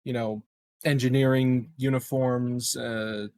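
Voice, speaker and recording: A man chatting casually as a player in a tabletop game, asking things in a halting, questioning way. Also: mid-range voice, level tone, a little mellow, no room sound.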